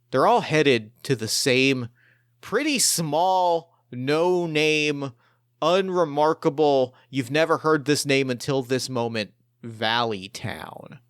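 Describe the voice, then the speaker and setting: A man improvising narration as game master of a tabletop roleplaying game, speaking haltingly and repeating phrases as he builds his descriptions. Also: medium pitch, a bit bright, clean tone, no echo, clean studio sound.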